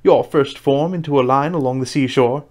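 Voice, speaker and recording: Storybook beast male reader performing: boisterous gryphon, brusque, excitable, quick to butt in and exclaim. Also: mid-range voice, natural voice. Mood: gruff. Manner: blunt, interrupting, loud exclamations. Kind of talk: storybook character voice.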